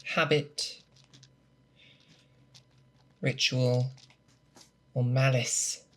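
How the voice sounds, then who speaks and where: A patient, methodical monster hunter, as an ASMR performer plays the part, mentoring an apprentice. The voice is mid-low and androgynous, with very slow, deliberate delivery that coaxes with questions. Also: flat delivery, a bit bright. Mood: calm, focused. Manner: unhurried, deliberate, coaxing with questions. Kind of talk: ASMR roleplay, scripted character monologue.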